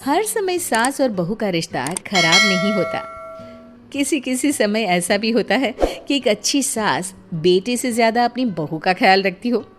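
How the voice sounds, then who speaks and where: A woman's voice: medium pitch, lively intonation, a little slow, music in the background. A woman narrating a scripted moral story as the storyteller.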